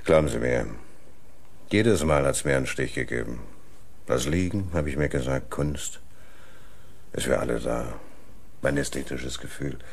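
An actor playing an aging, wry art thief in prison in a German radio crime drama. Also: male voice, a bass voice, unhurried, creaky, long pauses, exaggerated voice. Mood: wistful, wry. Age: older. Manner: wry, sardonic.

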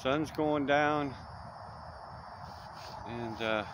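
A man's voice: medium pitch, very slow. Rambling man camping outdoors. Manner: rambling, folksy, trails off with fillers. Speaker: vlogger. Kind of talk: casual outdoor vlog. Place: outdoors in dense brush at dusk. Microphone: phone camera held close. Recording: noisy outdoor phone recording. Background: crickets, insects and distant traffic.

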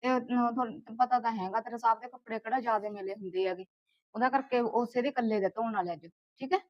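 A woman's voice: on the high side, fairly even intonation, fast, no room sound, muffled recording, close to an everyday voice. A woman acting a lonely, lovestruck mistress of a household in a short film, with a Punjabi accent. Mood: friendly.

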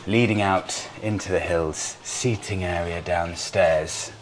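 A man's voice: low-pitched, fairly even intonation, takes it slowly, slight background noise, poor audio. A man in his thirties giving a chatty, enthusiastic tour of a small hotel room, recorded on a lapel mic. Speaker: travel vlogger. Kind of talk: casual travel vlog narration.

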